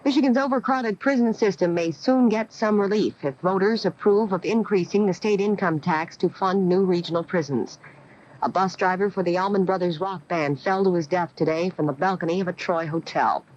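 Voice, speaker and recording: A woman's voice: fairly low pitch, normal speed, darkish tone. An American woman reading a scripted local newscast as a radio anchor. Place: radio studio. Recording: dull old AM radio recording.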